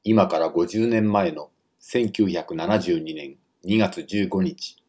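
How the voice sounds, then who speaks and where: Guy narrating a story, really low voice, takes it super slow. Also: a little mellow.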